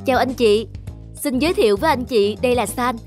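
A woman's voice: fairly high pitch, a little fast, close to an everyday voice. A woman voicing a polite, deferential male tour guide in a cartoon dub, with music underneath. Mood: welcoming. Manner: polite, formal presenting.

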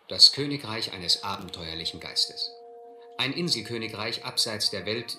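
A man acting a shrewd, adventurous seafarer in a radio drama, deliberate and controlled with a touch of irony. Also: on the low side, pushed and bright. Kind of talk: radio drama acting, first-person narration and dialogue. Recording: roomy, slightly noisy old radio-play recording.

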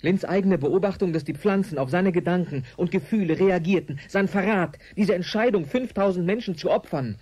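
A man's voice: high voice, brisk, few pauses, darkish tone, breathy edge. Earnest, troubled male colleague. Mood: earnest reasoning. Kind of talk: 1980s German radio drama acting.

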